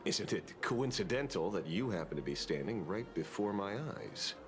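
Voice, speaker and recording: A man's voice: low voice, expressive, normal speed. An actor playing a self-assured male private detective in a video game, theatrical, musing and playful. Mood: playful, confident. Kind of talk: dramatic video game dialogue.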